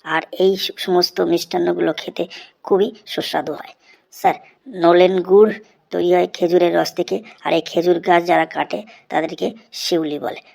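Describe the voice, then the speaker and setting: A woman's voice: lowish voice, level tone, normal speed, creaky, darkish tone. A woman voicing an eager, cheeky, long-winded schoolboy in a comedy cartoon, speaking earnestly and respectfully. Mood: earnest reciting. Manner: deferential, earnest, rambling classroom recitation. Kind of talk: Bengali comedy cartoon voice acting, recitation.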